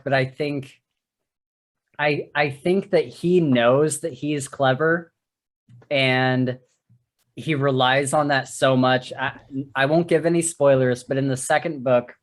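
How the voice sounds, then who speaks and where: A man's voice: on the high side, steady tone, takes it slowly. A man co-hosting a casual podcast book discussion, talking like an enthusiastic fan. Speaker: podcast co-host.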